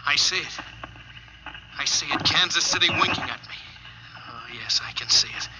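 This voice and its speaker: An actor playing a lonely, wistful astronaut stranded in orbit, musing haltingly in a 1950s radio drama. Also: male voice, fairly high pitch, lightly acted. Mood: moved, relieved. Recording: noisy old 1950s radio recording.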